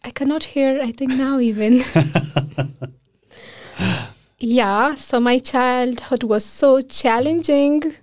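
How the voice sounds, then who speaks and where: A woman's voice: high voice, steady tone, slow pace, long pauses, darkish tone, no echo. Earnest Afghan-accented woman, dry sound. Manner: earnest, emotional storytelling with quoted speech and laughter. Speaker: guest, physician. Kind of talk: casual interview conversation.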